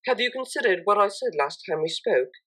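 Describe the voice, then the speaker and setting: Refined young pony mare, played by a woman, storybook cellist, polite, earnest, proper diction, very expressive pitch. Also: fairly quick. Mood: concerned. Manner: formal, precise, proper wording.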